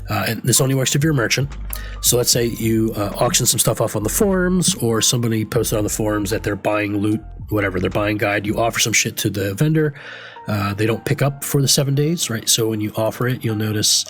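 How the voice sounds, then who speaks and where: A man's voice: on the low side, a bit bright. A man with a stuffy, congested voice, casually talking through a screen-recorded game tutorial in a rambling, offhand way, swearing now and then. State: stuffy from a cold. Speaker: game vlogger.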